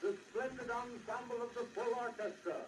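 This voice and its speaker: A man's voice: very high-pitched, fairly even intonation, normal speed, echoey room, far from the mic, over loud music. A man making a formal, declamatory sales announcement, heard from a scratchy acoustic-era 78rpm record. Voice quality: declamatory, projecting. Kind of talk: early 1900s sales announcement. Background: hiss and crackle.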